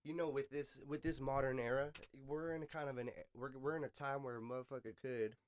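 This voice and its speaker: An American man with a medium-pitched voice hosts a casual podcast chat. He talks in loose street slang, swears freely and feels his way through his thoughts in a halting flow. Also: fairly even intonation. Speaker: podcast host.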